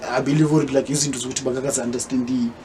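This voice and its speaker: A man's voice: mid-range voice, fairly quick, background noise. A South African man hosting a casual podcast interview, chiming in often and mixing English with Zulu. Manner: relaxed, chimes in a lot, mixes English with Zulu. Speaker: podcast host.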